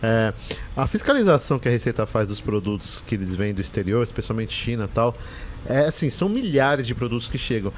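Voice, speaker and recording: Relaxed man hosting a podcast. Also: mid-range voice, fairly quick, slight background noise. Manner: relaxed, slangy, short reactions. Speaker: podcast host. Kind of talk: casual podcast interview.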